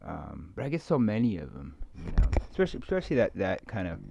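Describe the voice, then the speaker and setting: A middle-aged man giving a rambling, musing ASMR talk, halting and repeating himself as his thoughts meander and trail off. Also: sits low, wildly swooping pitch, vocal fry, background noise. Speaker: ASMR video creator. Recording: muffled lo-fi close microphone, dry.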